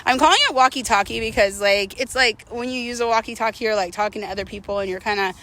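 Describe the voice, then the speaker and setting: A woman's voice: on the high side, steady tone, fast, pushed and bright, slight background noise. A woman talking casually and candidly to viewers as a vlogger while walking, slightly out of breath, with self-mocking asides and rhetorical questions. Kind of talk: casual confessional chat to viewers.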